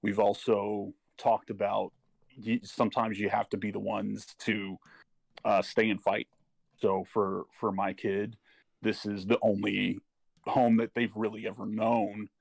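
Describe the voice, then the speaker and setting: A middle-aged man speaking personally and earnestly, haltingly searching for his words. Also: fairly low pitch, little pitch movement, gravelly, darkish tone, no room sound, low-quality recording. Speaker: parent speaking as a panel guest. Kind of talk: personal testimony in casual talk.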